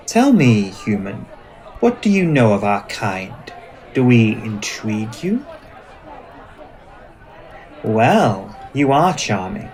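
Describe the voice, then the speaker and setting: Man acting as old vampire lord, courtly, measured, wary, commanding, medium pitch, ASMR roleplay. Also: poor audio. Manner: formal, measured, commanding phrasing. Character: ancient, suspicious, courtly dominant male vampire.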